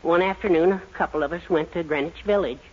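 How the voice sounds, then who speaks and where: A man's voice: a little high, fast talker, slightly rough. An actor playing a henpecked, sheepish middle-aged American father with mournful, melodramatic phrasing in a 1940s radio sitcom. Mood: rueful storytelling. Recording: dull 1940s radio recording.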